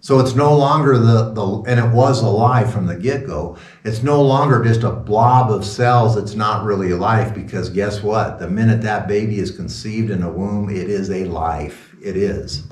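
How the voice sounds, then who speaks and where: A man's voice: lowish voice, normal speed, hardly any pauses, a little mellow. A man in his sixties, a pastor, giving an informal, rambling sermon talk with sarcastic asides. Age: 60s. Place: small wood-paneled room indoors. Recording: roomy echo, distant from the mic.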